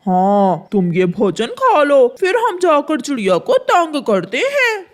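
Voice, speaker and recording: A woman's voice: high voice, huge pitch swings, takes it slowly, exaggerated voice. A woman voicing a selfish, scheming male crow in a children's cartoon, with a dismissive, bossy manner. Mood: sly. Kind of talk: Hindi children's cartoon voice acting.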